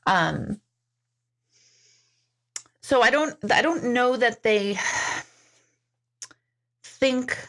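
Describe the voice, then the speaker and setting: A woman's voice: on the high side, lively intonation, extremely slow, long pauses, a bit bright. Chatty middle-aged woman reading comments. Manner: chatty, rambling, reads comments aloud, laughs often. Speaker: intuitive medium answering viewer questions. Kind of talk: casual live-stream chat.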